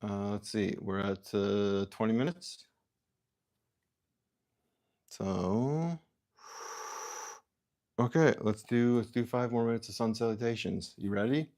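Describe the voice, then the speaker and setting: A man from Canada hosting a livestream, musing aloud in halting, self-interrupting sentences and reading out viewer comments. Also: fairly low pitch, darkish tone. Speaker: livestream host. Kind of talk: rambling livestream chat.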